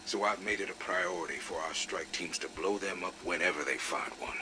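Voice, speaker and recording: A man playing a grizzled, blunt veteran security chief in a game audio log, with a gravelly, breathy voice. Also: deep voice, fairly quick, background noise. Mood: blunt, defiant. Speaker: military security commander. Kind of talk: acted audio log briefing.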